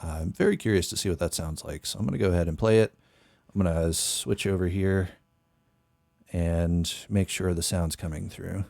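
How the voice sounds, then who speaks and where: Very deep-voiced young man streaming. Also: fairly even intonation, normal speed, creaky, darkish tone, no room sound, studio quality. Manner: meandering, thinks aloud with many fillers. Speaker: music producer streamer. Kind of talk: casual live-stream talk. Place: small bedroom studio. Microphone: studio mic on boom arm, headphones.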